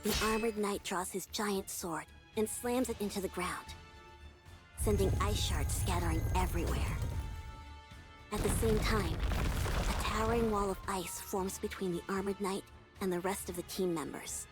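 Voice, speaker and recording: A woman's voice: medium pitch, fairly even intonation, normal speed, lightly acted. A woman playing a storyteller, giving dramatic scripted narration of a fantasy battle in a game cutscene. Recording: loud music over the voice.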